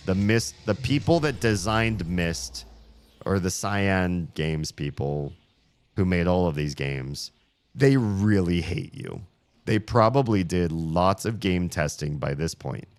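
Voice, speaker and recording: A man streaming a puzzle game, grumbling sarcastically about the puzzles while his voice is going hoarse. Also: deep voice, animated, slow pace, dry recording. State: losing his voice. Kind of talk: casual live-stream commentary.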